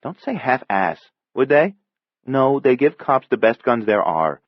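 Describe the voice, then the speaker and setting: A man's voice: medium pitch, sing-song, a little slow, no echo, highs cut off, pitched above the performer's own voice. Man voicing a firm, protective big sister. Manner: firm, scolding, earnest. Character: almost twelve-year-old girl, bossy, protective big sister.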